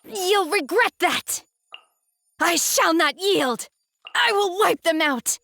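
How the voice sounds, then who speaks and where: A woman voicing an aloof, earnest young female mystic in a video game, speaking in a measured, restrained and formal way. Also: very high-pitched, animated, vocal fry, a bit bright, exaggerated voice. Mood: fierce, combative. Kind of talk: video game character voice acting.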